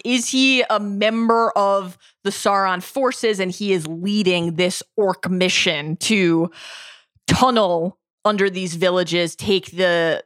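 A woman co-hosting a podcast in casual chat, excitable and laughing, her voice jumping up when amused. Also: no echo, clean studio sound. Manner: excitable, laughing, jumps up in pitch. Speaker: podcast co-host.

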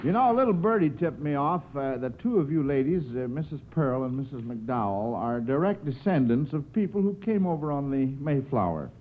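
A man's voice: mid-range voice, animated, brisk, few pauses, phone-quality sound. A man hosting an old-time radio quiz show, chatty and folksy, often stretching out his phrases as he goes. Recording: scratchy, noisy, thin old radio recording.

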